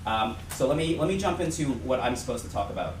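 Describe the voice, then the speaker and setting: A man's voice: mid-range voice, lively intonation, fast talker. A man speaking on a panel, earnestly reading out a list of headlines. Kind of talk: panel talk. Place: lecture hall. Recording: echoey room, mic far off, faint noise.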